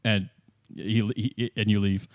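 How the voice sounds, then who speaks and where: A man's voice: lowish voice, little pitch movement, slow pace, a little mellow. A man narrating a tabletop game casually as game master, improvising as he goes.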